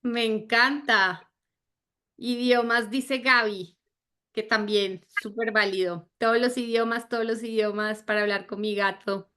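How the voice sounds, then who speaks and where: A woman's voice: a little high, fairly even intonation, a little slow, bright, forceful tone, a little hiss or hum. A woman in her thirties from Argentina, leading an online sales training in a friendly, chatty way with many fillers. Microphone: video call.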